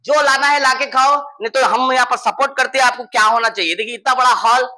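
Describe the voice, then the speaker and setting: Hyderabadi man in his thirties, hosting a promo walkthrough, high and very bright voice, lively and joking, dry close sound. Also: fast, no room sound. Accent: Hyderabadi Hindi-Urdu. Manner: lively and joking, often calling out to viewers. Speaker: host showing a farm guest house. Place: outdoor garden and indoor hall.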